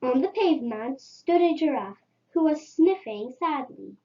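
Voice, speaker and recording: A woman's voice: high voice, expressive, unhurried, lo-fi sound. A woman reading a children's story aloud as a storyteller.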